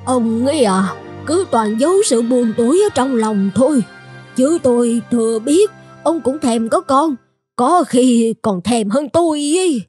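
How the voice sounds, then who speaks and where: A woman's voice: a little high, faint music behind. A woman playing an elderly, motherly peasant wife in a fairy-tale cartoon, speaking expressively. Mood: wistful. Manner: expressive, drawn-out exclamations.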